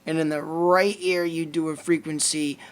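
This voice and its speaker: A man's voice: on the high side, moderate pace, clean tone. A man with a Lithuanian accent, co-hosting a casual podcast chat and reading passages from a book aloud. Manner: reads passages aloud in a steady list-like way. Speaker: podcast co-host.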